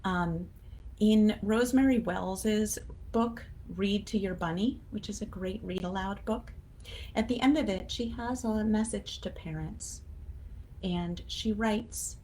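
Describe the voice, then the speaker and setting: A woman's voice: mid-range voice, takes it slowly, dark tone, rough recording. A woman giving a casual, encouraging educational talk to parents, conversational with emphatic stresses on key words. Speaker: children's literacy educator and blog host. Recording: clear background noise.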